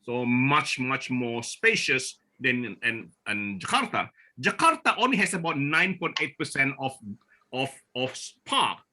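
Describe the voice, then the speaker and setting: A man from Borneo presenting at a forum over a video call, speaking haltingly with many restarts and fillers. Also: medium pitch, very animated, pushed and bright. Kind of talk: online presentation talk.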